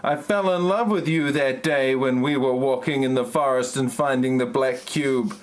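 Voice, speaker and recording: A man playing an earnest, awkward middle-aged lover in an amateur parody audio play, with deliberately stilted delivery. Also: medium pitch, lightly acted. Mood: earnest, tender.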